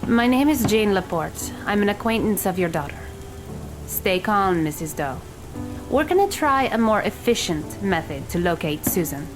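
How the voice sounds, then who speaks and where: Woman as a firm, mid-pitched woman in charge. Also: expressive, moderate pace, close to an everyday voice. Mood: calm, firm. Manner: firm, formal, matter-of-fact. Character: brisk, composed, commanding young woman taking charge. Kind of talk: audio drama acting. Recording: music and rain behind voice.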